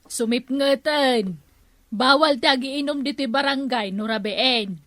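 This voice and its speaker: An actress playing a strict, gossipy village councillor in a Filipino radio comedy drama, scolding, with an Ilocano accent. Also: female voice, high voice, unhurried, fairly bright tone, dry recording. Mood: strict, suspicious.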